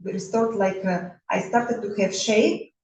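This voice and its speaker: A woman in her forties from Russia, a bodywork therapist introducing herself in halting non-native English with a Russian accent, full of fillers and restarts. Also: mid-range voice, a little mellow, a little hiss or hum. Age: 40s. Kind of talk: self-introduction talk. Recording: roomy echo, mic at a distance.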